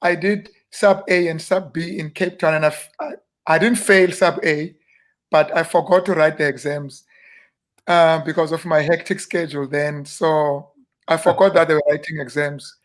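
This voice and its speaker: A South African man in his fifties talks about the past at an easy, wandering pace. He often stops on fillers and restarts his sentences, and his voice sits a little high for a man. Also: fairly even intonation. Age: 50s. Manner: unhurried, rambling, with frequent fillers and restarts. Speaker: interview guest, minister. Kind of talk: relaxed reminiscing interview talk.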